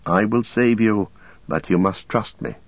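A man voicing a gentle but firm, anxious hobbit master, coaxing softly and earnestly in a storybook reading. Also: lowish voice, a little slow, no echo, muffled recording. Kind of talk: storybook character dialogue.

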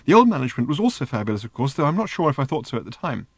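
A man's voice: medium pitch, very animated, very fast, no echo. A man voicing a small male security robot that gushes with servile, ecstatic delight in a squealing voice. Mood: gushing. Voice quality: squealing, gushing.

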